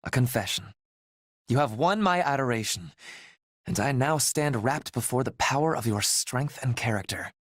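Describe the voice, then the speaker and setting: A man's voice: medium pitch, expressive, moderate pace, fairly bright tone, no echo, clean studio sound. A man playing a grandiose imperial ruler. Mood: solemn. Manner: formal, solemn declamation.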